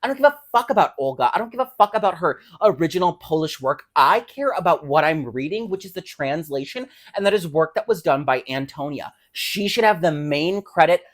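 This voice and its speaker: A woman's voice: on the low side, animated, fairly quick, few pauses. A woman chatting casually and opinionatedly as a podcast co-host, snarky, irreverent, emphatic and free with swearing.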